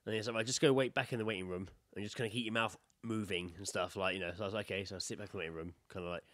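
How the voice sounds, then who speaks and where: A man's voice: lowish voice, very fast, dry recording, clean studio sound. A British man co-hosting a podcast, telling anecdotes in a casual, sweary way and acting out what people said. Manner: sweary, animated storyteller who acts out quotes. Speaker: podcast co-host.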